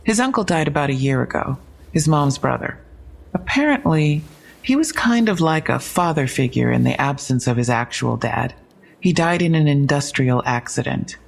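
A woman's voice: low voice, animated, moderate pace, creaky, full character voice. An actress playing a matter-of-fact young female police detective in an audio drama, briefing conversationally with dry, wry asides.

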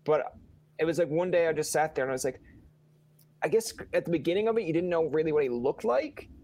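A man's voice: fairly high pitch, fast talker, no echo. A man with a Newfoundland accent hosting a casual podcast, asking long, rambling, jokey questions. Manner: rambling, jokey, animated asides. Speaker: podcast host.

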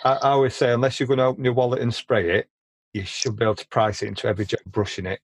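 A man hosting a casual podcast, chatty and quick to share opinions, often stumbling and repeating words as he starts a sentence. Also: mid-range voice, little pitch movement, dry recording. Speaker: podcast host. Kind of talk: casual chat.

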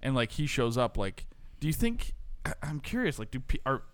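A man's voice: mid-range voice, sing-song, normal speed, no echo. Man, US northeast, podcast co-host, bagpiper, laughs often, swears, dry recording. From northeastern United States. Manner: laughing, profane banter. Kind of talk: casual chat.

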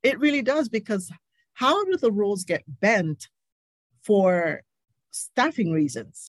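A woman's voice: medium pitch, animated, takes it slowly, no room sound. A woman speaking as a nurse guest in a candid interview, emphatic and indignant, repeating points for stress. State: recovering from covid, stuffy.

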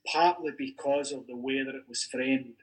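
A Scottish man, an academic in a podcast discussion, making careful, measured points that he qualifies as he goes. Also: medium pitch, low-quality recording. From Scotland. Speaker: university academic discussing football history.

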